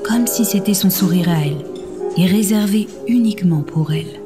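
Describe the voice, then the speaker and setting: A woman's voice: mid-range voice, moderate pace. A woman narrator reading scripted fiction in an audio drama. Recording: music under the voice.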